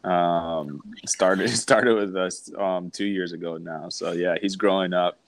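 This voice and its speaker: A man in his thirties, a pro soccer player guest, chatting on a video call from home in a relaxed, hesitant way with many ums. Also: deep voice, slow, dry recording. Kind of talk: casual video-call chat. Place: home room.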